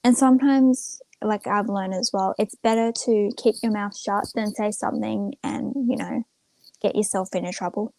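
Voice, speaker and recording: A woman's voice: mid-range voice, fairly even intonation, moderate pace, gravelly, mellow, dry recording, rough recording. A young woman chatting casually to viewers on a livestream in a rambling, informal, self-effacing way. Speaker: live streamer.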